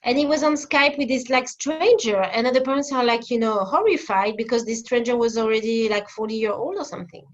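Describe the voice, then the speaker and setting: A woman's voice: fairly high pitch, brisk, hardly any pauses, muffled recording. A woman in her forties from France with a French accent, a guest therapist answering interview questions with many fillers and restarts over a video call.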